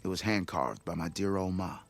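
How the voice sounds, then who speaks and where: A man's voice: low-pitched, fairly quick, gravelly. An actor playing a blustering, self-important expedition leader in a video game, with a theatrical, wheedling salesman's patter. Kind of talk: video game cutscene dialogue.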